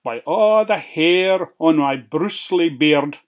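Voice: mid-range voice, animated, takes it slowly, lo-fi sound. Storybook: reader doing a scoffing, boastful Scottish pig. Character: boastful, bold male pig.

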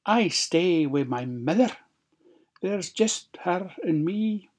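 A wee Scottish girl from a fairy tale, acted out by a storyteller. She is innocent, polite and chatty, speaking slowly in a slightly raised voice. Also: mid-range voice, lively intonation, darkish tone, voice pushed up. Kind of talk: storybook character voice.

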